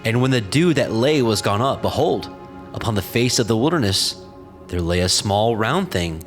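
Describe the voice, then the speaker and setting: A man's voice: on the low side, lively intonation, moderate pace. A man narrating scripture from a script in a solemn, formal storytelling manner. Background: prominent music.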